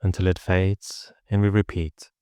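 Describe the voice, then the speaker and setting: A man leading a guided meditation as a teacher, speaking in a calm, measured, instructional way with pauses. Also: deep voice, smooth, dry recording, studio quality.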